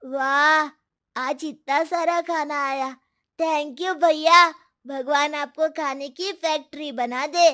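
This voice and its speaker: Woman plays a gentle cartoon waiter, soft-spoken and caring, slightly high voice. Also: steady tone, slow pace, dry recording, studio quality, full character voice. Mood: sympathetic. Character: kind young male restaurant waiter.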